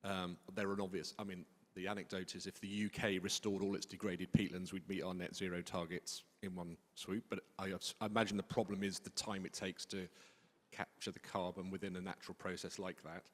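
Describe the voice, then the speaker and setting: A man in a conference audience asks a long question in a low voice, restarting and rephrasing as he goes. The lecture hall adds a long echo to his voice. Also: flat delivery, a little fast. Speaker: audience member asking a question.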